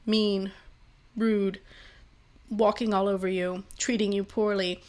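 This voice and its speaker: A young woman giving a pick-a-card tarot reading, talking in a rambling way with many fillers and restarts, her voice a little worn. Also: mid-range voice. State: slightly losing her voice. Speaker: tarot reader.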